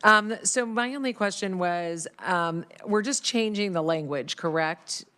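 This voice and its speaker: A woman committee member with a loud voice, asking a clarifying question in an apologetic way. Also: medium pitch, unhurried. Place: legislative committee room.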